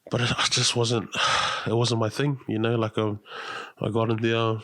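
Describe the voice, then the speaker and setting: A man talks easily on a podcast, loose and slangy, with plenty of fillers. His voice sits at a middling pitch. The sound is dry and close, with no room around it. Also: level tone, normal speed, sharp tone, dry recording. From New Zealand. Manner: laid-back, slangy, chatty with fillers. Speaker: podcast guest, radio host. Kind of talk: casual chat.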